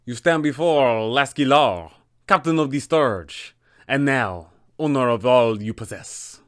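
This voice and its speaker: A man's voice: mid-range voice, a little slow, no room sound, studio quality, lightly acted. A man voicing a suave, roguish pirate captain with a flamboyant, mock-polite manner for a tabletop villain. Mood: smooth, courteous.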